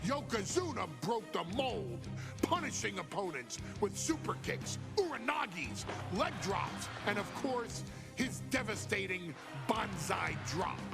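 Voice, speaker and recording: A man narrating a scripted documentary in a gravelly voice. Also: high-pitched, very animated, unhurried. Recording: loud music under the voice. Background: loud music.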